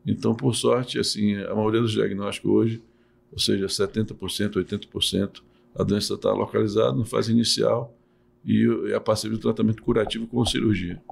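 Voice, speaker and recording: Man, middle-aged, low voice, gravelly fry, teacherly, patient, restarts phrases. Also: level tone, brisk. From Salvador, Bahia, Brazil. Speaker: urologist and professor. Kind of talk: explanatory interview talk.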